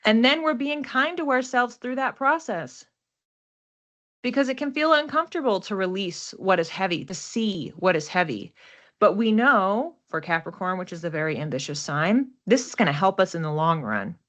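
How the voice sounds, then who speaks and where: A woman's voice: mid-range voice, expressive, normal speed, a bit bright. A woman giving a casual, unscripted card and astrology reading, thinking aloud in a reflective, conversational way. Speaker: oracle card reader and astrology host.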